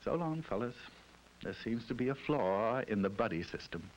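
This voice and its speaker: A man's voice: lowish voice, animated, normal speed, slight background noise, poor audio. A man giving scripted 1960s educational film narration in a wry, mock-solemn storytelling manner. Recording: narration over loud music.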